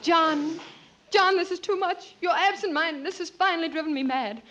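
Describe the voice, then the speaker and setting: A woman's voice: extremely high pitch, normal speed, heavy background noise. A woman playing a fed-up, melodramatic wife in a 1940s radio comedy, with a dramatic, fretful manner. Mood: exasperated. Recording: muffled old radio recording.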